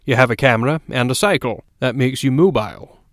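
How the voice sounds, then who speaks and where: A man voicing a blunt, dryly amused newspaper man in an audiobook, brisk and forthright. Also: medium pitch, no room sound, studio quality, lightly acted. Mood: brisk, decisive. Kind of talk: audiobook character dialogue.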